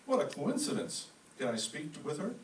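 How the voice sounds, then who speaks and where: Man playing brisk store owner, echoey and distant. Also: on the high side, huge pitch swings. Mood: eager, friendly. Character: brisk, courteous department store owner, Mister Bush. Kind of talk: old-time radio comedy acting. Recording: echoey room, distant mic, faint noise.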